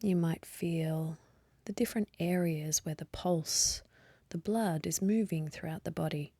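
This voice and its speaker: Slow, breathy woman guiding meditation. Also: lowish voice, no echo. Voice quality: soft, breathy, partly whispery. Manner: gentle, unhurried, with long pauses. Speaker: meditation guide. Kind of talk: guided meditation, slow calm instruction.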